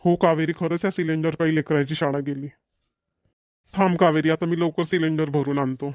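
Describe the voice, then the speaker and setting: A man's voice: a little high, fairly even intonation, fairly quick, a little mellow, no echo, clean studio sound, close to an everyday voice. A man playing a broke village father, scolding yet coaxing, in a cartoon comedy with a Vidarbha Marathi accent. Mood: agreeable.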